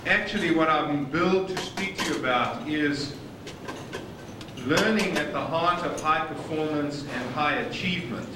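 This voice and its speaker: A man's voice: on the high side, unhurried, noisy background. South African man speaking in an echoey hall. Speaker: conference speaker. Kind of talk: conference presentation. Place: conference hall with dining noise. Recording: echoey hall from far off on old videotape.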